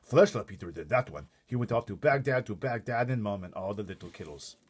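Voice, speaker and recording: A man's voice: lowish voice, expressive, fairly quick, husky. A man voicing an eager, simple big friendly giant with quaint mangled grammar in a storybook read-aloud. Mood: excited.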